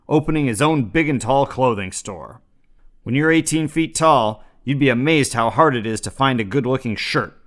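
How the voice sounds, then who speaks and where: A man's voice: medium pitch, fairly bright tone. Man reading mock news in dry earnest. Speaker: comedy podcast host. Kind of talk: scripted parody news reading.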